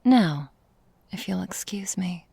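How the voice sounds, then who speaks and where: Lady playing a possessive vampire mistress, gravelly whispery asmr, really dry close sound, fancy old-fashioned wording. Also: lowish voice, animated, slow, mellow, no room sound, heavily acted. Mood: polite menace. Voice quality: breathy, whispery. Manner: formal, archaic, languid phrasing. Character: seductive, possessive female vampire mistress, refined and predatory.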